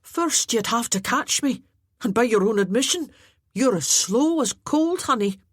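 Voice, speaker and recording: A woman voicing a cheeky, sly male Highland warrior who delivers jokes with a straight face in a storybook reading. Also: expressive, measured pace, slightly rough, dry recording, studio quality. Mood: jesting. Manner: sly, jesting.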